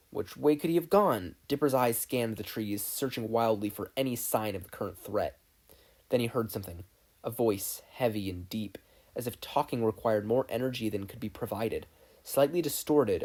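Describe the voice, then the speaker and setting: Husky-voiced narrator reading a story expressively. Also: mid-range voice, a little mellow. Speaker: podfic narrator.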